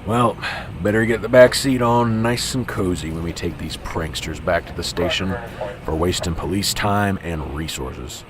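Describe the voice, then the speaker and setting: Man acting a deep-voiced, cynical veteran cop. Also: normal speed, crowd noise, close to an everyday voice. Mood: dismissive. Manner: grumbling, sarcastic, dismissive. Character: cynical, weary veteran small-town police officer, male. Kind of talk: amateur radio drama acting. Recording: clearly noisy, vehicle-like background ambience.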